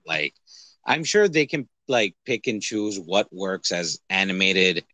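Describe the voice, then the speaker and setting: A man talks as a podcast co-host in a dry, close recording. He rambles through casual movie chat with animated, exaggerated reactions. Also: sits low, slow pace, no echo, rough recording.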